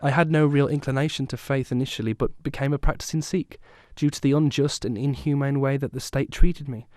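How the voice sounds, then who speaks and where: Man acting haunted survivor telling his story, solemn n measured, audio drama narration, average pitch. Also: steady tone, a little mellow, dry recording, clean studio sound, close to an everyday voice. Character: male survivor soberly recounting traumatic youth in a massacre. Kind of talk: scripted first-person drama narration.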